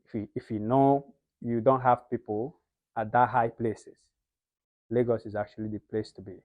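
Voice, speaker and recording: A man's voice: medium pitch, fairly even intonation, moderate pace, a little mellow, dry recording. A young man from Nigeria with a Nigerian accent, a guest in a casual interview who explains things in an earnest way. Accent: Nigerian English. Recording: slightly muffled lo-fi sound.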